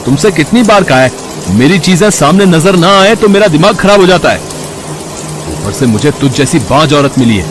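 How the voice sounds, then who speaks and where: A man voicing an irritable young husband who snaps and demands in a raised, high voice. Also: animated, moderate pace, music in the background, close to an everyday voice. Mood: angry.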